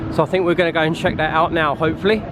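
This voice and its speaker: A man's voice: a little high, little pitch movement, rapid-fire. A man chatting casually on a travel vlog, outdoors on a city street and recorded on a compact camera mic, rambling and repeating himself a little. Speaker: travel vlogger. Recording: street traffic noise behind.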